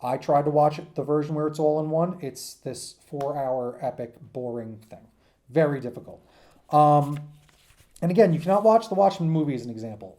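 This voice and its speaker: A man's voice: on the high side, mellow. A man teaching in an informal, off-the-cuff way, rambling and often checking in with his listeners. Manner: rambling, frequent check-ins like "okay?" and "right?". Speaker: teacher giving an assignment. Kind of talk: informal lecture to students, off the cuff.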